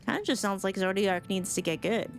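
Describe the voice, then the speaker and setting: Girl streamer reacting to a game, casual rambly sweary, gets emotive, soft music underneath. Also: medium pitch, brisk. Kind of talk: casual live commentary.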